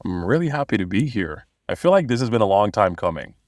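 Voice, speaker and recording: A man's voice: on the low side, big pitch swings, quick pace, no echo, studio quality. A young man from Bosnia, a guest in a podcast interview, telling his story with enthusiasm. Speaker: guest, copywriter.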